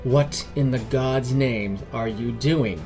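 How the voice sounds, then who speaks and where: A man's voice: mid-range voice, takes it slowly, darkish tone, faint music behind, lightly acted. A man voicing a blunt, sarcastic female dwarf in a fantasy story, with an exasperated delivery. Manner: sardonic, exasperated.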